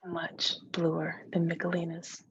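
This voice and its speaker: A woman's voice: fairly low pitch, level tone, slow pace, gravelly, lo-fi sound, a little hiss or hum. A woman voicing an imaginary girl friend, knowing and placating with a needling edge, in a literary read-aloud. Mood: soothing, placating.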